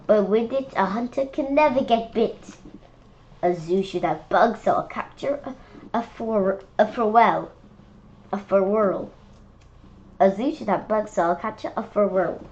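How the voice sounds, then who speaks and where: Woman, children's read-aloud, slow pace, halting and repetitive phrasing, medium pitch, slightly muffled lo-fi recording. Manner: halting, stumbling over words and repeating phrases. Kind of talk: informal read-aloud of a rhyming picture book.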